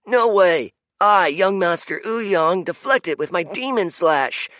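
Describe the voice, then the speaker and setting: A man's voice: high-pitched, animated, unhurried, a bit bright, no room sound, pitched above the performer's own voice. A man voicing a boastful young boy who talks haughtily while playing at fighting in a storybook reading.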